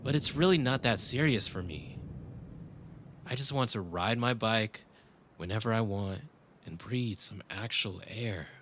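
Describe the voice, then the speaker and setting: A man's voice: fairly low pitch, measured pace, fairly bright tone, a little hiss or hum. A man playing a world-weary, tender cyberpunk dreamer in love, speaking intimately and reflectively in a romantic audio roleplay. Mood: earnest, hopeful. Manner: intimate, reflective, switching into Tagalog phrases.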